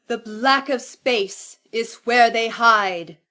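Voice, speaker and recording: Stern female cleric, played by an actress, high bright voice, slow solemn declamation for dystopian audio drama. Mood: fervent, foreboding.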